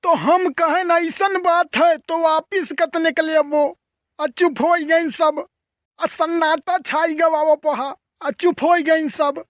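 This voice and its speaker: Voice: extremely high pitch, steady tone, slow, no room sound, studio quality. A performer voicing a teasing, grumbling cartoon village uncle with a rustic eastern Uttar Pradesh accent and exclamatory, colloquial delivery. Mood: indignant storytelling. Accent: eastern Uttar Pradesh (Awadhi). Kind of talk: rustic comedy cartoon dialogue.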